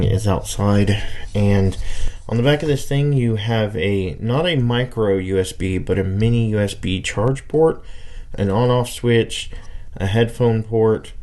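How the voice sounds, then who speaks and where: A man's voice: fairly low pitch, darkish tone. A man giving a casual, unscripted gadget demo, hesitant and thinking aloud as he goes. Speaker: hobbyist radio reviewer.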